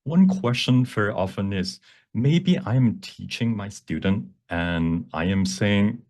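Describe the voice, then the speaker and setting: A man teaching a live software demonstration, explaining step by step in a halting, self-repeating way and switching between two languages. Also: on the low side, expressive. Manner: bilingual, switches between English and Cantonese. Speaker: workshop presenter, instructor.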